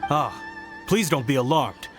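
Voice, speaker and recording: An actor playing a courteous guard on official duty in a video game, formal and reassuring. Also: male voice, mid-range voice, lively intonation, measured pace, natural voice. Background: game music.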